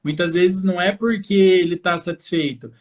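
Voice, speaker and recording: A man's voice: sits high, fairly even intonation, normal speed. A man with a Brazilian accent hosting a live educational talk, explaining fluently and speaking directly to parents as a practitioner. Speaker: host, infant osteopath.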